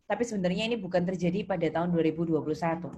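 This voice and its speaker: A woman's voice: lowish voice, very fast, warm tone. A woman lecturing step by step in an instructive way, putting questions to students as she goes. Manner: instructive, questions students and repeats their answers. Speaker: accounting lecturer. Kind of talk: interactive online lesson, explaining step by step. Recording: noisy, with keyboard typing and clicks.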